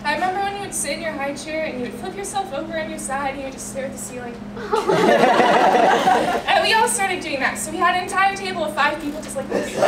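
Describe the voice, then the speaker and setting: High-voiced teen girl reading a poem. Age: late teens. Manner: earnest and heartfelt, emotional, reads in long run-on lines. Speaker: student poet. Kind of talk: reading her own poem aloud. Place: school poetry reading in a hall. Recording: echoey room, distant mic, loud ambient noise.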